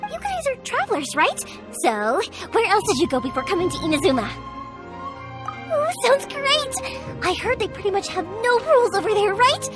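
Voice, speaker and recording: An actress playing a cheerful, energetic young woman in an anime-style video game, chatty, expressive and earnest. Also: very high voice, moderate pace, over loud music. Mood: chatty, excited.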